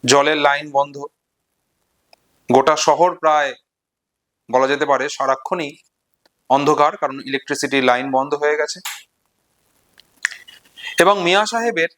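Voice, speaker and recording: A man giving an informal literary lecture and retelling stories, in deliberate, measured phrases broken into short segments. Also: on the high side, fairly bright tone. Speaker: literature explainer and presenter.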